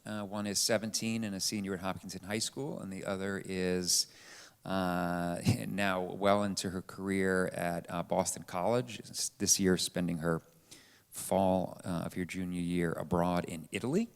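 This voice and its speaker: A middle-aged man casually introducing himself to a live audience, halting and wry as he goes. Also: low voice, steady tone, clear, resonant tone. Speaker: panelist. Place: church panel before an audience. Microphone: live sound microphone.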